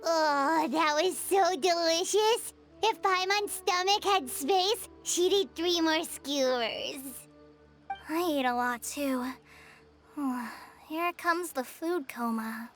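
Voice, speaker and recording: Squeaky, very high-pitched little fairy girl. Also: takes it slowly, faint music behind, exaggerated voice. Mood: sleepy, contented. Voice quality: squeaky, childlike. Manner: refers to self in third person, expressive. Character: small excitable floating fairy companion, childlike girl. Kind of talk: animated video game voice acting.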